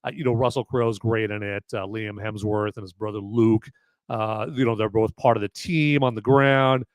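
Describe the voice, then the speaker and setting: A man hosting a live movie review podcast, with a loud voice that carries, who rambles casually with lots of fillers and restarts. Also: lowish voice, steady tone, moderate pace, no room sound.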